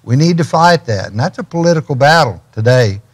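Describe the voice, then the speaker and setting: An older man speaks at a studio desk as a guest, his voice sitting at a middle pitch. He tells stories with folksy turns of phrase. He builds toward pointed, urgent questions. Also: expressive, a little fast, slightly rough. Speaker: ministry guest. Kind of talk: storytelling in a studio interview. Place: TV studio set.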